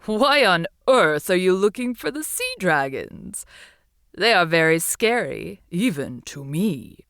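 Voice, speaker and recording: An opinionated sea witch of the deep, acted by a woman storyteller, speaks with theatrical, swooping emphasis. She is helpful yet grumbly, an unhurried storybook character who leans on her words. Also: very animated, fairly bright tone, dry recording, clean studio sound, full character voice. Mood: curious, wry. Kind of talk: children's storybook reading.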